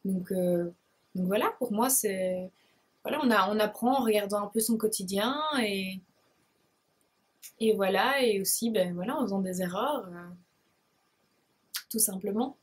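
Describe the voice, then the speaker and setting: A woman's voice: mid-range voice, a little slow, pauses often, slightly rough. A shy woman in her twenties with a Belgian French accent from Liège, answering viewer questions to camera, hesitant and full of fillers and restarts. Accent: Belgian French, Liège province. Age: 20s. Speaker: beauty blogger and vlogger.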